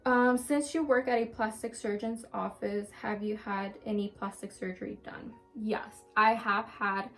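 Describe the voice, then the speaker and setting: A woman's voice: mid-range voice, fairly even intonation, unhurried, hardly any pauses, a bit bright, soft background music. A woman of about twenty chatting casually to camera, answering viewer questions in a candid, rambling way with many ums. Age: around twenty. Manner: chatty, frequent ums and restarts, open and candid.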